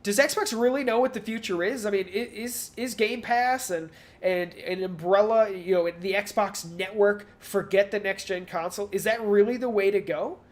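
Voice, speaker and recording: A man's voice: high voice, moderate pace, hardly any pauses. A man speaks as a podcast co-host in a casual discussion. His delivery is rambling and analytical, as he works through his points aloud and often paraphrases others in mock quotes. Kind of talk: casual video game industry discussion.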